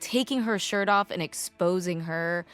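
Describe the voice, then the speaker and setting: A woman's voice: lowish voice, unhurried, fairly bright tone, soft background music. A woman giving casual commentary in an earnest, conversational manner.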